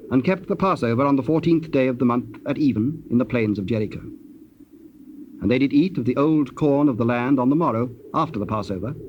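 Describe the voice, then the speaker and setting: A man narrating a dramatized scripture, formal and measured in his storytelling. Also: medium pitch. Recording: dull old cassette, faint noise.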